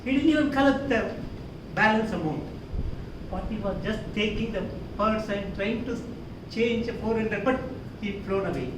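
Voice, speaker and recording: A man with an Indian accent giving a theatrical storytelling speech, emphatic and dramatic, with deliberate pauses. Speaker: contest speaker. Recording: roomy echo, distant mic, clear rumbling noise.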